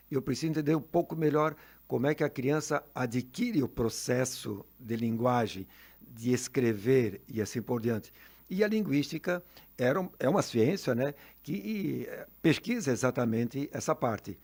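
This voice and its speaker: A man's voice: medium pitch, animated, normal speed, vocal fry, a little mellow, husky. An older man, a veteran teacher telling stories in a radio studio with frequent tag questions and chuckles. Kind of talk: relaxed storytelling in a radio interview.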